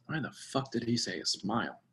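A man's voice: mid-range voice, expressive, a little fast, bright, forceful tone. An actor playing an overworked, polite and hesitant professional man in a dramatic screenplay reading. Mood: muttering, baffled. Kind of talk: dramatic table read.

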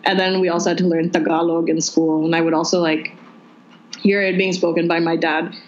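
Chatty young woman from the Philippines. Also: a little fast. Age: young adult. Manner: chatty, animated storytelling with many "like"s. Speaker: interviewee. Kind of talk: casual chat. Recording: muffled low-fidelity audio.

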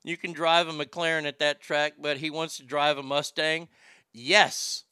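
A man hosting a casual talk show, ranting in an animated, profane way. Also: on the high side, a little slow, clear, resonant tone, no echo, studio quality. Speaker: host.

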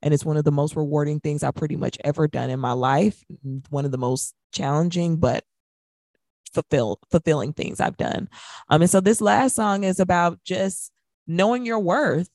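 American female radio co-host, thirties, lower register, casual music chat, very dry studio sound. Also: normal speed, darkish tone, dry recording.

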